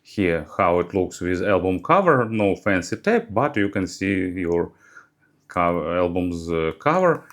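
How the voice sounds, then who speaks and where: A man narrating a hands-on product review as a reviewer, speaking in halting, hesitant phrases. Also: low-pitched, very animated.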